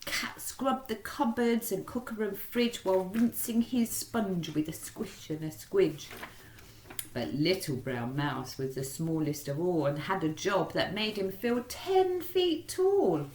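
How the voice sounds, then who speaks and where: Children's storyteller: female, theatrical and highly varied in pitch, slightly low voice, echoey room. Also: slow, a little hiss or hum. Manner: animated, theatrical. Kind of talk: expressive rhyming storybook narration.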